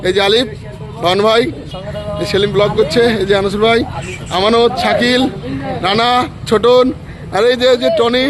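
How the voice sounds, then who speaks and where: A man's voice: very high voice, rough recording. A man hosting a casual vlog, introducing his friends to viewers and teasing them with questions. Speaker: vlogger and host. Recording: busy crowd and street noise.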